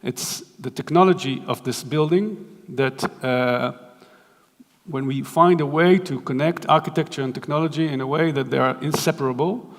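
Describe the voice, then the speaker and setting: Israeli man lecturing in a reverberant hall. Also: a little high. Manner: halting, many restarts and fillers. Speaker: guest lecturer. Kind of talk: architecture lecture.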